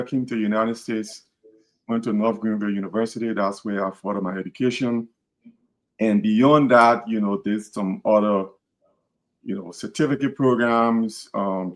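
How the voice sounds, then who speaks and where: Earnest Liberian man hosting a podcast. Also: fairly low pitch. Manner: earnest, repeats words and restarts phrases. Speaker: podcast host. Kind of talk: casual podcast talk.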